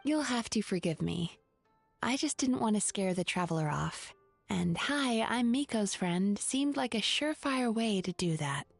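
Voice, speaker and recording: A woman playing a composed, caring, gently wry young therapist with a measured, articulate manner in an anime-style video game. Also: mid-range voice, expressive, creaky, faint music behind. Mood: dry, polite.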